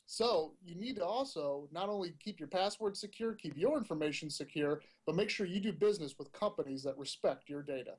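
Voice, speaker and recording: A man with a notably high voice co-hosts a tech news podcast. He delivers stories in a punchy, opinionated way and likes to put rhetorical questions to his listeners. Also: lively intonation, a little fast, few pauses, slightly rough. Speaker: podcast co-host. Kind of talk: casual tech news chat.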